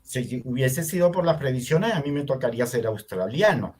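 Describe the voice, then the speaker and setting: An older man from Venezuela, whose was Hungarian, telling his story in an interview in a reflective, unhurried way with many fillers. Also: medium pitch, animated, darkish tone, slightly rough. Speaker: artist guest. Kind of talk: conversational interview.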